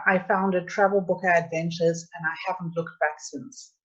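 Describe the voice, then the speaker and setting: A middle-aged woman with a South African accent presents a travel webinar over a computer mic on a video call. Her voice sits a little low, and the audio sounds dull and lo-fi. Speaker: travel agency owner hosting a webinar.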